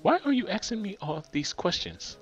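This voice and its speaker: A man's voice: high-pitched, sing-song, moderate pace, highs cut off, faint music behind, pitched above the performer's own voice. A man voicing a curt young female barista whose speech carries a hint of an English lilt, clipped and prim. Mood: snippy.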